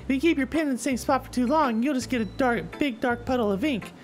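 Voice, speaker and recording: A woman voicing a cheerful, encouraging teenage girl who leads a literature club in a visual novel, chatty and gently coaching. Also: high-pitched, quick pace, lightly acted. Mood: cheery. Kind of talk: visual novel character dialogue read aloud. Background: soft background music.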